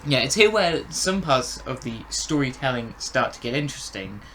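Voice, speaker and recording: Male co-host, bright forward tone, medium pitch, tentative casual phrasing. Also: very animated, moderate pace, a little hiss or hum, low-quality recording. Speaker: podcast review co-host. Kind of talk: casual review discussion.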